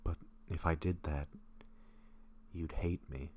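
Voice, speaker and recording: A man playing a gentle, apologetic, lovestruck vampire in an intimate audio roleplay, speaking in hesitant, breathy murmurs and halting phrases. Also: very deep voice, fairly even intonation, a little hiss or hum. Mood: earnest, longing. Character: gentle, apologetic lovestruck young male vampire, shyly hungry.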